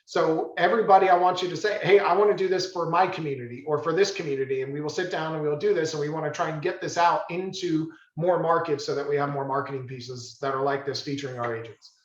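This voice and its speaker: A man's voice: on the high side, steady tone. Emphatic man in an echoey room. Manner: emphatic, halting restarts. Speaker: real estate office leader. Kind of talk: team meeting talk. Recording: roomy, dull meeting-room sound.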